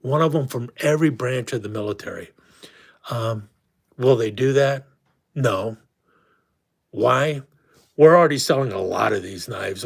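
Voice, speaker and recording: A man's voice: medium pitch, creaky, slightly rough. Guy on a live stream losing his voice, rambly, lots of ums and restarts. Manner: rambling, frequent restarts and fillers. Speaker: host. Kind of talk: casual live-stream chat.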